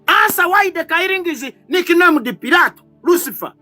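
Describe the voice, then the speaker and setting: A man's voice: very high voice, expressive, moderate pace, sharp tone. A man in his thirties hosting a heated political debate panel, accusatory and emphatic, hammering rhetorical questions. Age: 30s.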